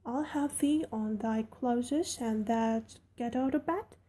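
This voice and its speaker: A woman's voice: on the high side, slow, warm tone. A woman voicing a plain-spoken young Yorkshire housemaid with broad dialect, cheerful and frank. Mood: soothing.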